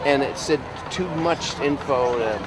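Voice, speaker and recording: A man chatting casually outdoors as an MC and local show personality, rambling and joking in a halting way. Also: mid-range voice, over a noisy crowd, very noisy. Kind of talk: casual interview chat outdoors. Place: outdoors at a park gravesite.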